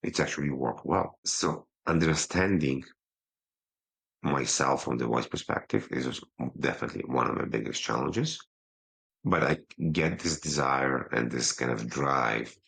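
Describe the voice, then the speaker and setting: A man with an extremely deep voice that keeps dropping into a gravelly fry, with a husky edge. He speaks English with a non-native accent. He is a podcast guest on a video call, fast at heart but pausing deliberately. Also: a bit bright. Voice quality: low voice. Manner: quick talker who slows himself down, pausing instead of fillers. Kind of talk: casual interview talk.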